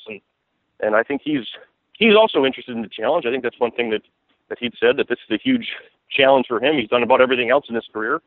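A man's voice: medium pitch, dry recording. An American man giving conversational sports analysis as a guest, rambling and repeating himself for emphasis. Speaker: sports beat writer, interview guest. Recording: tinny narrow phone line.

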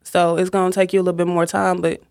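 A woman's voice: lowish voice, level tone, vocal fry, a little mellow. Reflective woman hosting a casual chat. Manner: reflective, measured, advice-giving.

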